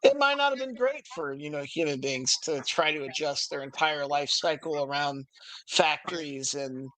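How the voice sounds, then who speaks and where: A man's voice: a little high, expressive, moderate pace, pushed and bright, low-quality recording. An American man talking casually and giving opinions on a podcast, searching for his phrasing as he goes.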